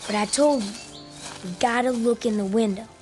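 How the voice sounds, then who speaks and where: A scornful, bossy little country kid, voiced by a woman in a radio drama. The voice is fairly high. Faint music plays behind. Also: moderate pace, darkish tone, lightly acted. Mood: bossy, sneaky.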